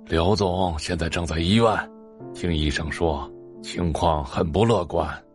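An actor playing a harsh middle-aged father in an audio drama, gruff, contemptuous and domineering. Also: sits low, measured pace, soft background music. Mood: despairing.

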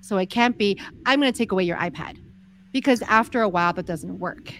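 A soft-spoken woman in her forties, a guest explaining her views in a measured, easygoing interview. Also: mid-range voice, a little hiss or hum. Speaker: podcast guest, entrepreneur. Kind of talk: casual interview conversation.

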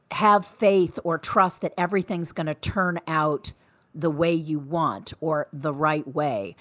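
A woman's voice: on the low side, a bit bright, dry recording. A middle-aged woman co-hosting a podcast, speaking frankly and reflectively with dry humor. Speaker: podcast co-host. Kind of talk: casual conversation.

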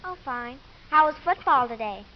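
A woman's voice: high-pitched, animated, moderate pace. A female performer playing a plucky, streetwise young girl in a 1950s radio drama, full of slangy wisecracks. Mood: wry, fond. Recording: dull, noisy 1950s radio recording.

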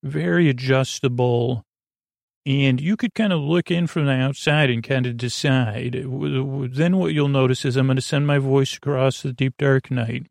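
A man with a creaky voice hosting a sleep podcast, meandering haltingly and goofily through a bedtime monologue and often interrupting himself. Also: medium pitch, fairly even intonation, a little mellow, no room sound, studio quality. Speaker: podcast host. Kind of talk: rambling bedtime monologue to help listeners sleep.

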